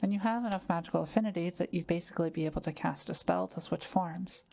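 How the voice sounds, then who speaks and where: A woman voicing a kind, calm, scholarly forest witch in an intimate audio roleplay, explaining things in a gentle, measured way. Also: on the low side, vocal fry, a little hiss or hum. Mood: calm, explanatory.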